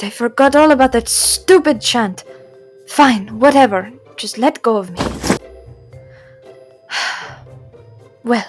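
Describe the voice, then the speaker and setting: A woman's voice: fairly high pitch, natural voice. A woman voicing a sassy, spiteful and insecure male god of wishes in a fantasy audio roleplay, theatrical, indignant and whiny. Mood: grudging, annoyed.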